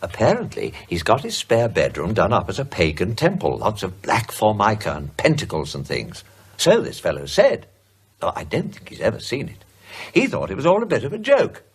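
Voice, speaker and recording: An actor playing a sceptical, brisk British husband in a radio drama, with a wry, clipped delivery. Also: male voice, on the low side, huge pitch swings, breathy edge, exaggerated voice. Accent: British English.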